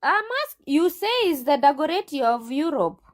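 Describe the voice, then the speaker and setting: A woman's voice: high-pitched, expressive, normal speed. A woman working as a recruitment agent, answering an interviewer defiantly and pressing her own questions back at him. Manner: defiant, insistent, repeats challenges.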